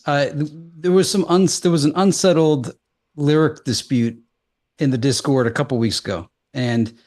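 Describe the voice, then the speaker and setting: A man hosting a live stream, chatting casually in a loose, halting, conversational way. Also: medium pitch, darkish tone.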